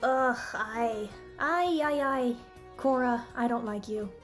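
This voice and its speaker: A woman's voice: a little high, takes it slowly. A woman giving candid, critical review commentary in a conversational, opinionated way. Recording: music bed behind the voice. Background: background music.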